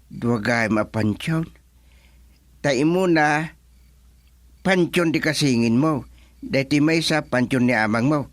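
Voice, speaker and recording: A forgetful old Filipino man, played by an actor, grumbles his way through a comedy radio play. He speaks slowly, dragging out his interjections, and the sound is dry and close. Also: medium pitch, no room sound, lightly acted. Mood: puzzled, weary. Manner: drawn-out, full of sighing interjections. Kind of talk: Ilocano comedy radio drama acting.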